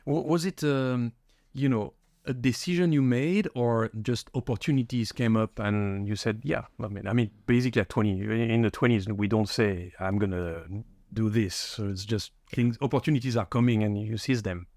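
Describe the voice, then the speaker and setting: A man's voice: mid-range voice, normal speed, a little mellow, dry recording, clean studio sound. A man hosting a podcast interview, asking curious questions and summing up the guest's answers. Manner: curious, friendly, summarizing answers back. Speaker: podcast host.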